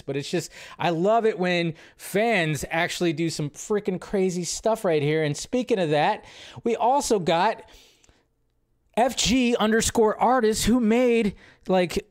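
A man hosting a livestream with casual, gushing movie-news commentary, rambling in slangy, emphatic phrases and repeating himself for emphasis. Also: high voice. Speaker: livestream host.

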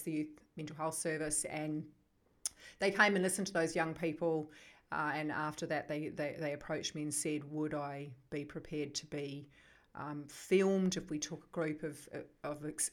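Woman, New Zealand accent, middle-aged, deep voice, thoughtful and unhurried podcast guest. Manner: thoughtful, searches for words as she speaks. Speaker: guest, psychotherapist. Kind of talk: conversational podcast interview.

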